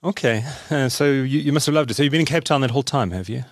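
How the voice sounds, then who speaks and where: A man's voice: medium pitch, quick pace, no room sound. A man hosting a conversational interview in a studio, often putting in short acknowledgements. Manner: frequent short acknowledging replies. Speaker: interview show host.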